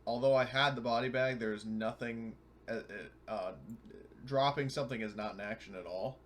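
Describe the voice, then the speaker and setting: A man's voice: medium pitch, moderate pace. A man playing a tabletop roleplaying game, chatting casually and talking through his moves step by step.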